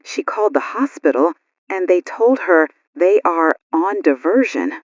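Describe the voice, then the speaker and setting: A woman's voice: lowish voice, lively intonation, measured pace, no room sound, highs cut off. A woman playing an anxious radio news co-host during a blackout, in an audio drama. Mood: distressed.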